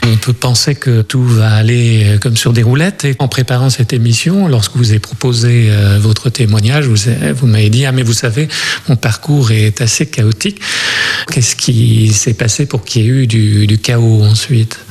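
A man working as a journalist interviewer, asking gentle, probing questions. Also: mid-range voice, steady tone, moderate pace, slightly rough. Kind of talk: radio interview.

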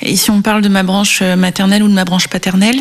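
A woman in her thirties, a guest on an interview program. She tells her personal story slowly and thoughtfully. Her speech is full of hesitations and soft pauses. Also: medium pitch, flat delivery. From France (Marseille, Réunion). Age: 30s. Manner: hesitant, reflective, many fillers.

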